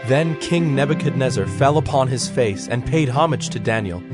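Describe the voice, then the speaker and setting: An actor serving as a solemn storyteller, narrating ancient scripture in a measured, formal way. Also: male voice, medium pitch, lively intonation, close to an everyday voice. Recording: music under the voice.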